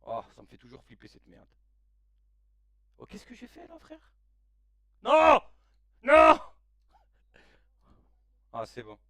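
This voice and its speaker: A man in his late thirties hosting a casual live stream, reacting excitedly to a football match in slangy chat and shouting at the players. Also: extremely high pitch, takes it slowly, pauses often, no echo, far from the mic. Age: 30s. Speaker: live-stream watch-along host.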